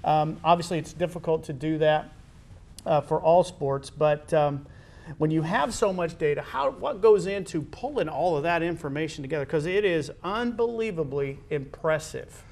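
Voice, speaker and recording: A man hosting a casual, unscripted interview, speaking haltingly as he searches for words. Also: fairly high pitch, a little mellow. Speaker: interviewer, host. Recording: clear background noise.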